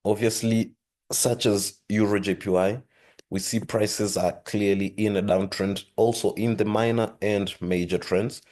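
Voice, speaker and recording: A man hosting a trading lesson, talking steadily through charts with a hoarse voice and frequent throat-clearing. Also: lowish voice, measured pace. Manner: steady explanatory, repeats "with that being said". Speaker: forex trading channel host. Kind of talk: screen-share trading lesson.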